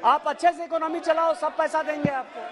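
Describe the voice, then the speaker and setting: A man member of parliament shouting a combative rebuttal in a parliament chamber. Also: very high voice, fairly even intonation, a little fast. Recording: noisy chamber with loud crowd. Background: crowd chatter and noise.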